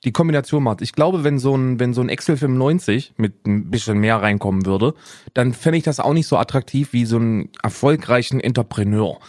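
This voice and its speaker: A man's voice: medium pitch, brisk, a little mellow, no echo, studio quality. A man in his thirties co-hosting a podcast, chatting in a joking, boastful, mock-serious way. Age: 30s. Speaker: podcast co-host. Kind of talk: joking casual chat.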